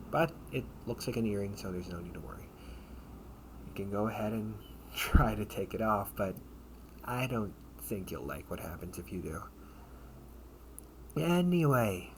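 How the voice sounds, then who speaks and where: Man playing protective older brother, audio roleplay, lowish voice, slow halting slangy delivery, sardonic menace, noticeable background noise. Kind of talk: amateur audio roleplay acting. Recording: noisy amateur home recording.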